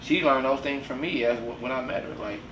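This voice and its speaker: A man's voice: mid-range voice, fairly quick, fairly bright tone, slight background noise. Earnest forty-year-old man, distant roomy mic. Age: 40s. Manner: earnest, preachy, repeats "you know what I'm saying". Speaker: podcast host. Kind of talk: casual podcast discussion.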